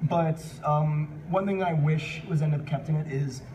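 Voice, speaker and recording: A man's voice: a little high, normal speed, darkish tone. A man hosting a live fan panel, talking casually in a rambling, jokey, unscripted way and taking questions from the room. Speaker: panel host answering audience questions. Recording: distant mic in noisy live panel room.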